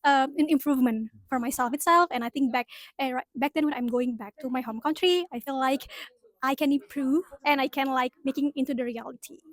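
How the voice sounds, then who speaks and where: Young woman, earnest, searching for words, high voice, dry. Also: brisk, dry recording. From Indonesia.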